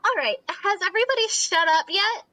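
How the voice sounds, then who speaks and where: A woman acts out a young, military-minded comic leader. The voice is high, bright and very dry in recording, delivering orders in a brisk, bossy, officious way. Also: expressive, no echo, heavily acted. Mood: commanding. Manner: officious, commanding briefing. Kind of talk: dramatic reading of a comic.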